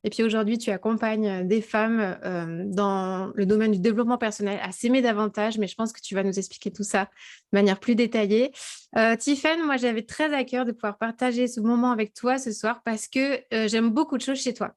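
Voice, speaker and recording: A woman from Alsace hosts a casual interview, speaking with eager enthusiasm and peppering her talk with fillers and quick yeses. Her voice sits a little high. She sounds like she is on a video call. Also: steady tone. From Alsace, France. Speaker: host.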